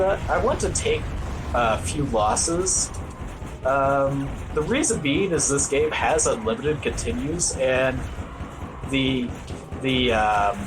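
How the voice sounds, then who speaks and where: A man commentates a speedrun in a casual, rambling manner. His pitch moves around animatedly while the pace stays on the slow side. Game music plays clearly behind him. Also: poor audio. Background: video game music.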